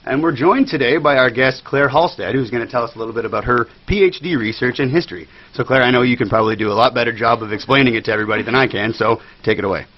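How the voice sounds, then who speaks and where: A man's voice: medium pitch, rapid-fire, hardly any pauses. A young adult man hosting a radio interview in a casual, conversational manner. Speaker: radio host. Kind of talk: radio show introduction and interview. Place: campus radio station. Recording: dull, muffled radio audio.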